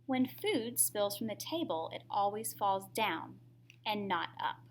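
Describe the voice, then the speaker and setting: A woman's voice: mid-range voice, expressive, measured pace, slight background noise. A woman reading a picture book aloud to young children in a teacherly way, often putting questions to the listener.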